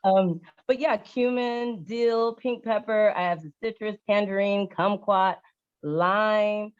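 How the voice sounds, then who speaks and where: Happy go lucky lady chatting about stuff she sells, mid pitch, kinda muffled lo-fi audio. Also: steady tone, slow pace, smooth. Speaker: guest vendor of essential oils. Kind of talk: casual chat, product pitch.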